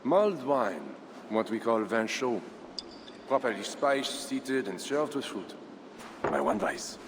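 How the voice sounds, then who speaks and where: A proud, shrewd Frankish count negotiating in a video game. His pitch swings dramatically through formal, pointed phrases. The voice rings in a long hall over faint ambience. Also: very animated, background noise. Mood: guarded, sardonic. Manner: formal, measured, pointed rhetorical questions.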